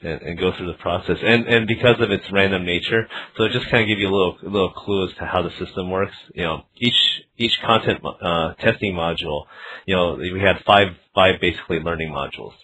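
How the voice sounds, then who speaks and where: A man interviewing someone casually over the phone as a developer, talking in a halting way and often saying "you know". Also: low voice, pushed and bright, rough recording. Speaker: interviewer and developer of a learning game. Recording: phone interview with clear background noise.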